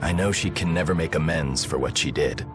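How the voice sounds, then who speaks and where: Deep, earnest, courteous man. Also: fairly quick. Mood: pleading, sincere. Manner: polite, sincere. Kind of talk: anime game dub acting. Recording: music behind.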